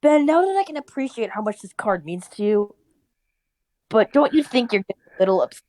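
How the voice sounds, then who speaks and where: A woman's voice: on the high side, sing-song, a little fast, a little mellow. Woman playing an easygoing, mild old grandfather. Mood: patient.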